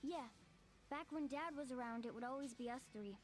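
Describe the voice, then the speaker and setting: An actor voicing a high-pitched, hesitant lonely young boy. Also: normal speed, close to an everyday voice. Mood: downcast. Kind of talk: video game voice acting. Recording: loud game music behind.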